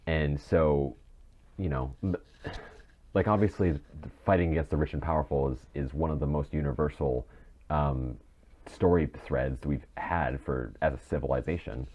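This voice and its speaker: A man's voice: a bass voice, moderate pace, lo-fi sound. A man co-hosting a podcast, thinking aloud tentatively in casual discussion. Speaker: podcast co-host.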